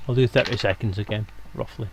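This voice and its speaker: A man's voice: lowish voice, steady tone, fast talker, slight background noise, rough recording. A man hosting a live stream in casual, bantering, sweary chat. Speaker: stream host.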